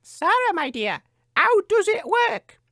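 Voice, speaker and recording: A man's voice: extremely high pitch, big pitch swings, takes it slowly, pushed and bright, no room sound, studio quality, pitched above the performer's own voice, exaggerated voice. A man voicing a grandiose, theatrical evil queen in a comic fairy tale. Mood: gloating. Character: gloating evil queen who turns daft.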